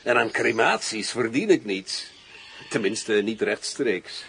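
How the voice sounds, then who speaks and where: An actor playing a wary, suave general in a radio drama, speaking in a theatrical, insinuating way. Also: male voice, lowish voice, lively intonation, slow pace, a bit bright. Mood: cunning, businesslike. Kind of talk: Dutch radio drama acting. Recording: noisy old radio drama recording.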